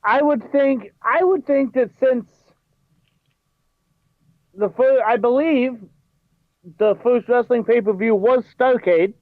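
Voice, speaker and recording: A man's voice: extremely high pitch, slow pace, pauses often, no echo, narrow, tinny audio. A man co-hosting a casual podcast, choosing his words carefully and hedging. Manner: careful, hedging word choice. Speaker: podcast co-host.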